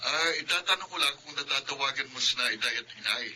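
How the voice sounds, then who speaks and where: An actor playing a hurried, work-obsessed elder brother in a radio drama, brisk and full of excuses. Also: male voice, medium pitch, animated, bright, forceful tone. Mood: hurried, evasive. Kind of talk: Tagalog radio drama acting.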